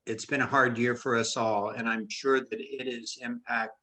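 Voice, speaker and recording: A man hosting a faculty interview, friendly and a bit halting with restarts. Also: mid-range voice, little pitch movement, pushed and bright. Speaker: interview host, business law lecturer.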